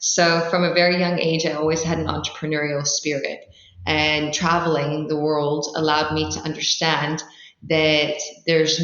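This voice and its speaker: A woman's voice: deep voice, fairly bright tone. A woman from the Netherlands giving articulate, explanatory answers as a startup founder in an interview. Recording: roomy, slightly dull sound.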